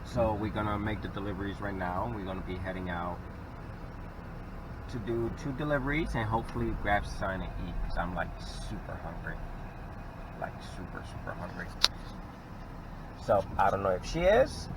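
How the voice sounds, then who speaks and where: A man's voice: lowish voice, lively intonation, normal speed, pauses often, background noise. Laid-back Southern-accented man driving. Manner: laid-back, rambling with fillers. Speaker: vlog host. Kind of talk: casual chat while driving. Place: inside a car.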